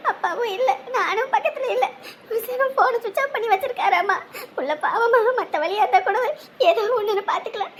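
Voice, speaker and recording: A woman's voice: very high voice, lively intonation, fast, fairly bright tone, a little hiss or hum. An actress playing an anxious, doting middle-aged working-class mother who frets over her daughter in a melodrama. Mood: distraught. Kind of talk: melodramatic film or serial acting.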